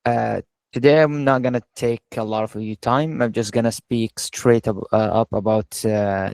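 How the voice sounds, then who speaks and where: Man from Syria, fairly even delivery. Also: medium pitch, no room sound. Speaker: guest speaker, software engineer. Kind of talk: informal webinar talk. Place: online video call.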